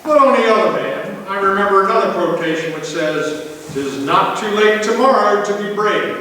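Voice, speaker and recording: Exasperated 1950s radio-comedy dad acted by a man, higher male voice, sarcastic grumbling, hesitant, long hall reverb. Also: lively intonation, moderate pace. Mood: wry. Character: exasperated sarcastic middle-aged 1950s family father. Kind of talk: live stage performance of 1950s radio comedy. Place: church hall stage, recorded from afar. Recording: distant echoey hall, hissy background noise.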